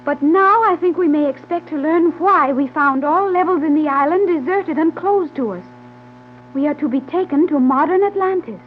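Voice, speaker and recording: A scratchy, thin 1930s radio recording with hum carries an actress as a young female guide. Her voice is extremely high, and she speaks with measured, formal precision and calm authority. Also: narrow, tinny audio, lightly acted. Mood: grave, assured. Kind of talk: 1930s radio serial acting.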